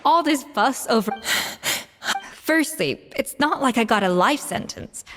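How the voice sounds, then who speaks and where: Brisk, high-voiced no-nonsense master chef. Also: female voice, lively intonation, slight background noise. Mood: exasperated, firm. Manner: blunt, exasperated, commanding. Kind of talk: video game voice acting. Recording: long reverb, faint background music.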